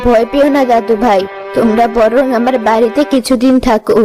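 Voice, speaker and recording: Poor elderly village grandmother, cartoon tale, woman actor. Caring, comforting, high-set voice with music under it. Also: fairly even intonation, normal speed, lightly acted. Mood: kindly, concerned. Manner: caring, reassuring. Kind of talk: cartoon story acting. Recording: music behind the voice.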